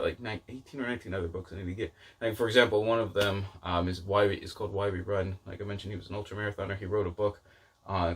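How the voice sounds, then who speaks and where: A man with a fairly low voice chats casually to his viewers as a vlog host. He rambles and hedges, often doubling back to rephrase what he has just begun to say. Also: husky. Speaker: book vlogger and channel host. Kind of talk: casual chat to camera.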